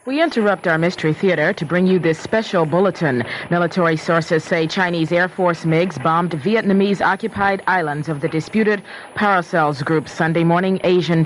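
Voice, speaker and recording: A man reading a formal news bulletin on the radio as an announcer. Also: a little high. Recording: slightly noisy vintage radio recording.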